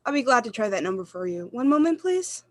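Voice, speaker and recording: A woman playing a polite, brisk telephone operator in a suspense radio play, with formal, sing-song courtesy. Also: fairly high pitch, big pitch swings, dry recording.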